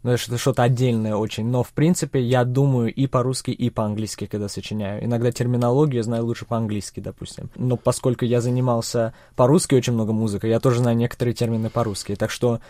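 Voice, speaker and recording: Soft-spoken young man, thoughtful. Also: medium pitch, little pitch movement, dry recording. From Czech Republic. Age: young adult. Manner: measured, hesitant, many fillers. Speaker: interviewee, young composer. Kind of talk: thoughtful interview answers.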